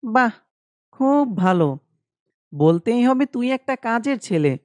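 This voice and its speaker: A man voicing an emotional, complaining young friend in an animated horror story. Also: very high-pitched, measured pace, no room sound, clean studio sound, exaggerated voice. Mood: casual, approving.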